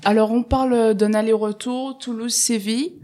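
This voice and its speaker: A woman's voice: on the high side, fairly even intonation, normal speed, mellow. A woman hosting a radio interview, asking questions in a polite, formal way.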